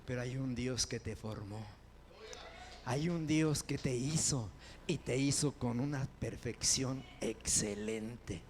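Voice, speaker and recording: A man preaching a sermon as pastor, building to loud fervent proclamations and dropping into quick conversational asides. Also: mid-range voice, slight background noise.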